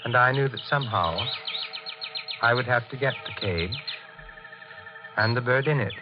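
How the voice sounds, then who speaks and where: An actor playing a guarded, brooding married man who narrates his own dark crime in an old radio thriller, evasive and haunted. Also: medium pitch, moderate pace, close to an everyday voice. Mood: brooding, tense. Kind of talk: 1940s radio thriller acting, first-person narration. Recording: muffled old radio recording with music.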